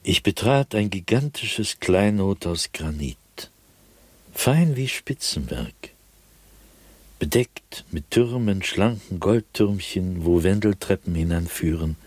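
A man playing an anguished, fearful, solitary diarist in a radio drama, speaking in a husky, brooding voice with sudden swells and hushed drops. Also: sits low, expressive, takes it slowly, no room sound, exaggerated voice. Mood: awed. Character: anguished, fearful, solitary male diarist haunted by nightly dread. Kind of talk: German radio drama monologue, diary read dramatically.

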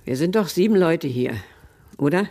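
An actor playing a calm, calculating man in a radio crime drama, speaking in a measured, persuasive way. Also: fairly high pitch, creaky. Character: calm, calculating man pressing a quiet bargain.

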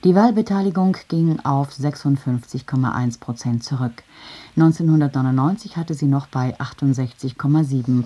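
Low-voiced German woman reading news. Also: warm tone. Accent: standard German. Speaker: radio newsreader.

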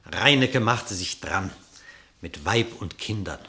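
A man narrating a classic verse fable in a radio play, measured and expressive. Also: sits low, gravelly. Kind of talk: scripted storytelling narration in verse.

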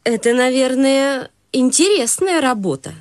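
Slow, genteel society mother. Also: high voice, animated, a little mellow. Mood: politely inquiring. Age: middle-aged. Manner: gracious, measured, formal. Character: genteel, formal society mother hosting tea. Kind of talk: radio play acting.

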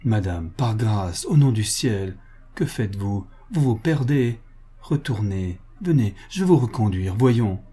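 A man voicing a reserved, courteous gentleman with formal, measured delivery, in a dramatic reading of a novel. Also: lowish voice, lively intonation, husky. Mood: anxious, earnest. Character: reserved, courteous gentleman, coolly restrained yet anxious.

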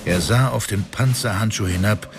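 A man's voice: on the low side, normal speed. A man narrating a fantasy audio drama in a dramatic scripted style. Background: music.

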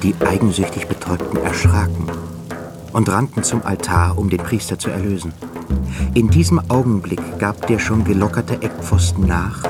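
A grave male narrator of a literary radio play. His lowish voice tells the story with intensity while music plays clearly behind him. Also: moderate pace, close to an everyday voice. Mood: grave, urgent.

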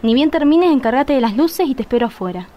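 A very high-pitched, urgent young woman with an Argentine accent , played by an actress. She is a bold schemer who bosses her friend around in a radio play. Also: expressive, fast, dull audio. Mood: bossy. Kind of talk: radio drama acting.

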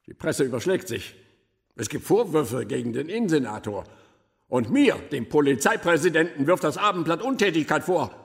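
An actor playing a stern, pompous police chief, speaking formally and officiously in a radio drama. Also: male voice, a little high, lively intonation, normal speed, creaky. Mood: stern, indignant. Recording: long hall reverb.

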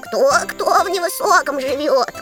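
Singsong storybook frog, lively pitch. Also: female voice, unhurried, loud music behind the voice. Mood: friendly. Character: friendly female frog from a fairy tale. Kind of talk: children's musical audio fairy tale acting.

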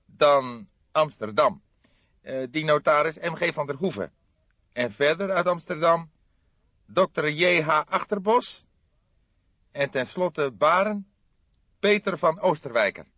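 Man acting a high-pitched, eager young detective. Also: expressive, a bit bright, no echo, lo-fi sound. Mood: informative, brisk. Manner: quick, emphatic, inquisitive. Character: young eager male detective sidekick, brisk and questioning.

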